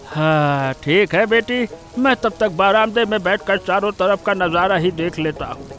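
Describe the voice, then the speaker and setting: A man's voice: sits high, measured pace, fairly bright tone, faint music behind. A man voicing a contented, folksy village father in a cartoon story. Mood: content, reflective. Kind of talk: Hindi cartoon story voice acting.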